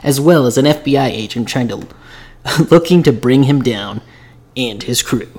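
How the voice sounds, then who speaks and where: Easygoing man, mid-pitched voice. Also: normal speed, gravelly, a little mellow. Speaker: film podcast co-host. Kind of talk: casual chat.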